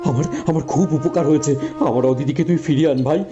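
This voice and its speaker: A man's voice: a little high, fast, lo-fi sound, lightly acted. A man voicing a young husband in a cartoon who turns greedy and then pleads tearfully. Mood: desperate. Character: young husband turned greedy by golden touch. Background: background music.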